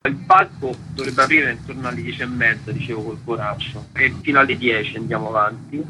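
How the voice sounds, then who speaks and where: A man's voice: mid-range voice, fairly even intonation, fast. A man, an event organiser, chatting casually and informally as an interview guest. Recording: clearly noisy location background. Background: ambient noise.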